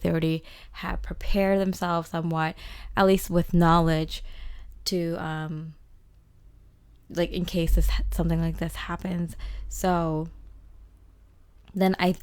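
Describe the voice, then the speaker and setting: A young woman with a slightly raspy voice talks her way through a tarot reading, casual and meandering, full of fillers and false starts. Her pitch sits low and barely moves. The sound is dry and close, with no room around it. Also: no echo. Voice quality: rambling with many fillers and restarts. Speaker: tarot reader and channeler.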